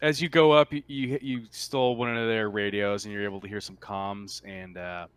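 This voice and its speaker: Male game master, lowish voice, close dry sound, casual narration. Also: fairly even intonation, normal speed, clear, resonant tone, no echo.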